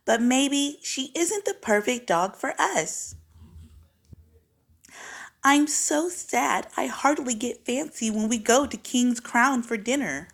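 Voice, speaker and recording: A woman voicing an excitable young girl who loves fancy words, narrating a storybook in a dramatic, precise manner. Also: fairly high pitch, takes it slowly, creaky, voice pushed up, exaggerated voice. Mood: sad. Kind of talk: storybook read-aloud, first-person child narrator.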